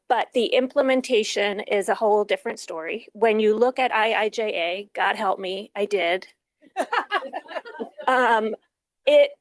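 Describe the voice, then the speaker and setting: A woman researcher from a think tank speaking on a conference panel in a measured way, with a touch of dry wit. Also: a little high, no echo. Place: conference forum.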